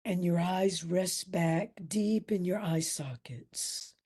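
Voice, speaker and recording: Slow, breathy woman guiding meditation. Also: lowish voice. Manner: gentle, soothing, with long pauses. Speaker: meditation instructor. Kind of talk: guided meditation.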